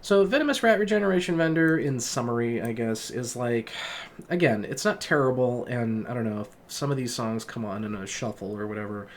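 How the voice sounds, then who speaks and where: A young man talks through an album review in a loose, rambling way, stopping and restarting his sentences. He is under the weather, and his voice sounds sniffly and worn, sitting at a middling pitch for a man. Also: lively intonation, fairly quick, a little hiss or hum. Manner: rambling, many fillers and restarts. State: sick, sniffly voice. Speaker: music vlogger. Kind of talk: casual spoken album review.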